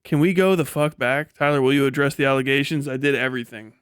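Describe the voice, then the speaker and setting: A man's voice: medium pitch, steady tone, fast talker, clear, resonant tone, dry recording, clean studio sound. A man in his late thirties hosting a casual livestream, rambling and swearing freely with a self-deprecating manner. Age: late 30s. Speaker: livestream host.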